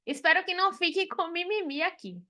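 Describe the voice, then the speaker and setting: A woman's voice: very high voice, fairly quick, pushed and bright. A woman from Salvador, an accountant teaching a live payroll class, talking frankly and chatting with her students. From Salvador, Bahia, Brazil. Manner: frank and chatty, with frequent tag questions.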